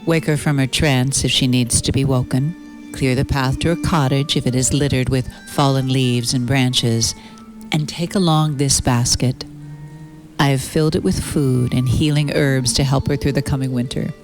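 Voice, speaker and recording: A woman playing a stern, protective mother who gives serious, measured commands in a fairy-tale audio play. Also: a bass voice, steady tone, over music. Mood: commanding.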